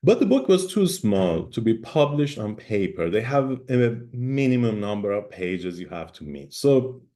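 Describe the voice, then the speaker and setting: A middle-aged man, a native Persian speaker, giving an online conference talk in an emphatic lecturing manner with pauses and fillers. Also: fairly low pitch, sing-song, dark tone. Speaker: guest speaker.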